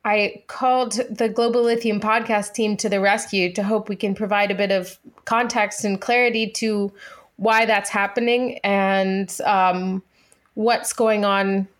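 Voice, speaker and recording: A woman speaks as a podcast co-host in a casual, conversational style. Her pitch sits in the middle range for a woman. She has a playful, wry way of putting things. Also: fairly even intonation, measured pace.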